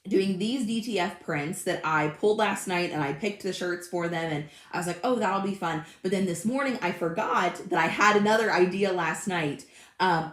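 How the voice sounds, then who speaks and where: A woman in her thirties hosting a casual craft livestream, chatty and friendly, with a slightly hoarse voice. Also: fairly low pitch, reverberant. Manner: chatty and friendly, greets live commenters by name. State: slightly hoarse, recovering from illness. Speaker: craft channel host. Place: home craft room.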